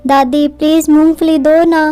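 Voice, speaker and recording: A woman's voice: sits high, flat delivery, unhurried, warm tone, faint music behind. A woman voicing a hungry little girl in a cartoon, begging in a childlike way. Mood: pleading.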